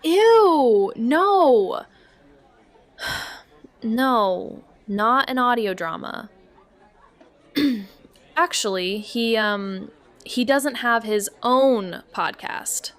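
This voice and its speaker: An actress playing an awkward, evasive young niece in a naturalistic audio drama, halting and flustered as she talks. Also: a little high, lively intonation. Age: young adult.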